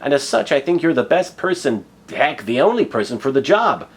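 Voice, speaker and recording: A man's voice: on the high side, sing-song, a little fast, slightly rough, heavily acted. A man voicing an earnest, polite young boy adventurer who explains things patiently in a comic story. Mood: patient, hopeful. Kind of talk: comedic fan fiction reading.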